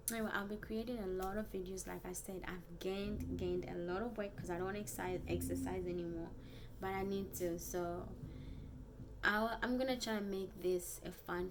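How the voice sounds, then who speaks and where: A young woman from Africa with an African accent, a native Bemba speaker, chatting casually and earnestly to viewers in a vlog, haltingly and often repeating phrases. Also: fairly low pitch, noisy background. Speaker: vlogger. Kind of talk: casual personal vlog chat.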